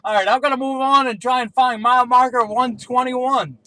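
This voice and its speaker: A man's voice: very high-pitched, fairly even intonation, brisk, sharp tone, a little hiss or hum. A man talking casually as a CB radio operator, making brief two-way range-check calls.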